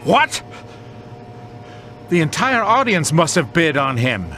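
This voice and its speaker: An actor playing a cold, calculating boss in a video game, measured and commanding. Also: male voice, very animated, bright, forceful tone, full character voice. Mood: surprised. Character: cold, calculating male boss in control room. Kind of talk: video game cutscene acting. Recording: rumbling noise behind the voice.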